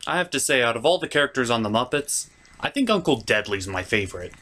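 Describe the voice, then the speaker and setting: A man's voice: mid-range voice, sing-song, fast talker, pushed and bright. A man hosting a scripted comedic review with skits, with a theatrical, exasperated delivery. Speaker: comic reviewer and host.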